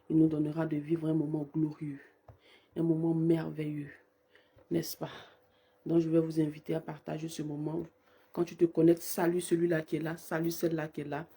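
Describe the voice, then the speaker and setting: Husky-voiced woman praying, hoarse with a cold. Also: sits low, dark tone. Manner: fervent, repetitive invocations. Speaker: prayer leader. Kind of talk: live-streamed morning prayer.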